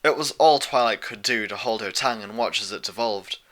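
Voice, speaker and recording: A man's voice: fairly low pitch, fairly quick, a bit bright. A man narrating a fan fiction audiobook in a scripted, literary storytelling way.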